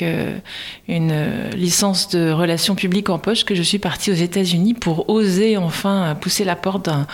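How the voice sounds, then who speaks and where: A woman from Nice with a fairly low voice answers interview questions. She speaks haltingly, with plenty of fillers and restarts, and laughs easily between thoughts. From Nice, France. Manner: hesitant, many fillers and restarts, laughs often. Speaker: actress guest.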